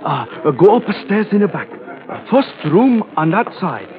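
An actor playing a greedy, wheedling Japanese bartender with a Japanese accent and halting broken English, in an old radio drama. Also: male voice, high voice, very animated, a crowd in the background. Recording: tinny vintage radio recording, loud music.

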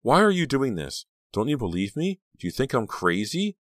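A man's voice: low-pitched, wildly swooping pitch, a little fast, dry recording, studio quality. A man voicing a frightened, desperate teenage girl in a storybook, defiant and disbelieving, shrieking and demanding. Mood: panicked, disbelieving.

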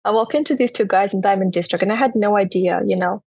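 Husky young woman. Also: mid-range voice, quick pace, darkish tone, dry recording, muffled recording. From Turkmenistan. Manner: earnest, open personal storytelling. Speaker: podcast guest. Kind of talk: casual interview storytelling.

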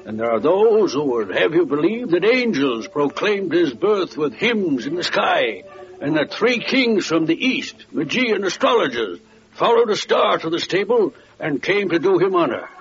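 An actor playing a weary, impatient carpenter of biblical times in solemn, archaic English, in an old-time radio drama. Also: male voice, a little high, big pitch swings, normal speed. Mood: solemn, wondering. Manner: solemn, formal archaic diction. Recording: dull vintage radio recording with hiss.

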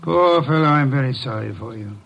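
An actor playing an imperious, eccentric older detective in a vintage radio mystery, speaking formally and deliberately with pompous weight. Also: male voice, mid-range voice, animated, creaky, lo-fi sound, a little hiss or hum. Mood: dry, droll. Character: imperious, eccentric genius male private detective. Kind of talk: 1950s American radio mystery acting. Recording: vintage radio drama recording.

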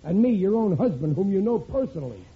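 An actor playing a gruff, self-pitying working-class husband in a 1940s radio comedy. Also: male voice, sits high, sing-song, fast, full character voice. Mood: grumbling. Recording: tinny old radio broadcast with hiss.

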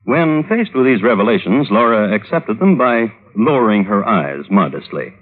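A low-voiced, droll male storyteller in a vintage radio drama. He narrates scandal with mock solemnity and very varied intonation. The sound is narrow and muffled, like an old broadcast. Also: very animated, normal speed. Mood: droll, dry.

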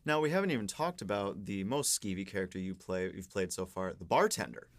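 A woman's voice: rumbling low, lively intonation, fairly quick. A woman co-hosting a podcast in a casual, conversational style. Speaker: podcast host.

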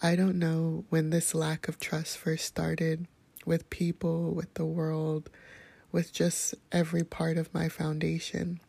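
A calm, soft-spoken woman hosting a podcast, sharing a reflective personal monologue in a hushed, whispery way. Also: deep voice, slow, vocal fry, breathy edge, no room sound. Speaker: podcast host.

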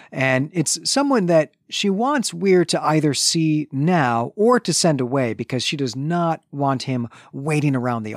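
A man's voice: a little high, no echo, clean studio sound. A man co-hosting a book podcast, methodically summarizing and reflecting on a novel's chapters. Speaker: podcast co-host. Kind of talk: casual book discussion.

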